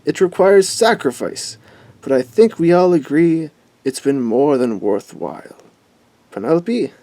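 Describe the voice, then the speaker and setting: A man playing a controlling, smug, wealthy middle-aged father in an audio drama, speaking in a formal, measured, pompous way. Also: a little high, animated, dark tone, dry recording.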